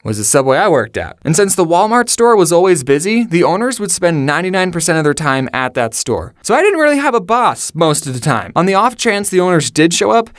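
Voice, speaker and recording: Animated young American man, higher-pitched voice. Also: dry recording, studio quality. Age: young adult. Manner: chatty, self-deprecating, sarcastic asides. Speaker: storyteller and video host. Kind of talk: casual comedic storytelling.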